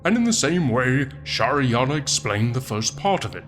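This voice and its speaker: A man voicing a sardonic, foul-mouthed Satan who reads an old book aloud in a theatrical, deliberate way with wry asides, as comic narration. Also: mid-range voice, sing-song, music in the background, close to an everyday voice. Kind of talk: comic audiobook-style narration.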